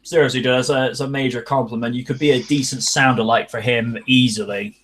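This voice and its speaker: A man's voice: mid-range voice, fairly even intonation, a little fast, fairly bright tone, slight background noise. A man hosting a casual online wrestling panel, chatty and laughing, with scolding asides to his co-hosts. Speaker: panel host.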